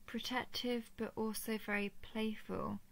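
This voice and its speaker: A woman's voice: fairly high pitch, little pitch movement, vocal fry. A woman voicing an affectionate, playful and easily flustered female dragon in an intimate audio roleplay. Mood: gentle, coaxing. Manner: tender, teasing, halting when flustered.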